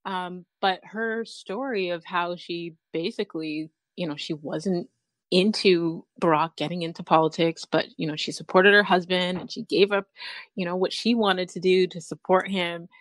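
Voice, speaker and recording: A woman's voice: on the low side, steady tone, normal speed, dry recording. A woman hosting an interview, gushing and encouraging, laughing often. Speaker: show host.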